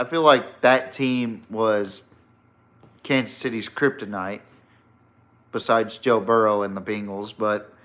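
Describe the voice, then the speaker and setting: A man with a mid-pitched voice talks like a sports podcast host sharing strong opinions. His delivery is casual but emphatic and blunt, with free swearing. The recording sounds dull and lo-fi. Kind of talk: casual, opinionated solo football commentary.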